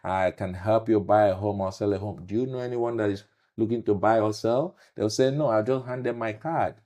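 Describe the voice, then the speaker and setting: A man's voice: fairly low pitch, a little fast, dark tone. A man with a Nigerian accent, a realtor answering interview questions with earnest, emphatic storytelling.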